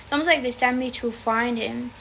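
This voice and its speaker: High, gravelly Arabic-native woman rambling. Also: brisk, noisy background. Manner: chatty, rambling, swears freely. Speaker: tarot reader. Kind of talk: casual livestream chat. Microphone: phone livestream.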